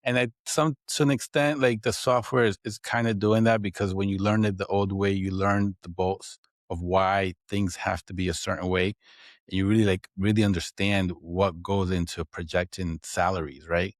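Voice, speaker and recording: Deep-voiced man with Dominican accent. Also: level tone, moderate pace, no echo, studio quality. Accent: Dominican Spanish. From Dominican Republic. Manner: explanatory, frequent "right?" tags. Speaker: guest, accounting firm owner. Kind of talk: podcast interview.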